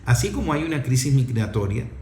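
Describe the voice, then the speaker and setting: A man's voice: mid-range voice, sing-song, fast talker, a little mellow, roomy sound. A middle-aged man with a Venezuelan accent giving an impassioned opinion talk in an emphatic, scolding way, full of rhetorical questions.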